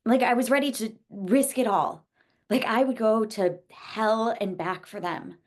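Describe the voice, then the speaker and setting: A woman playing an earnest, hesitant young woman in a naturalistic screenplay table read, speaking haltingly. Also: a little high. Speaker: actor at a script table read.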